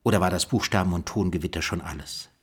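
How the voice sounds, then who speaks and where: A man's voice: low voice, fast, husky. An actor playing a curious male investigator puzzling over a cold case in a radio drama.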